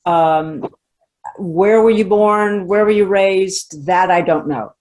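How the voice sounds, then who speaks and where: A woman from Texas speaks as the host of an interview show. Her pitch sits in the middle for a woman. She puts her questions in a conversational style. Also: takes it slowly, a little mellow, poor audio. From Texas, USA.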